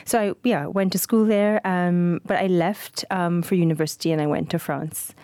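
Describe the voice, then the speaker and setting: A woman in her forties from Trinidad with a Trinidadian accent, telling her story in a relaxed, fluent way with soft fillers as a podcast guest. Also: lowish voice, moderate pace, dry recording. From Trinidad and Tobago. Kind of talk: casual interview.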